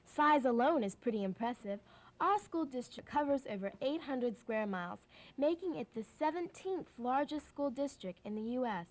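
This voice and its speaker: A female presenter reads from a script in a somewhat high voice. It is prepared narration. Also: animated, normal speed.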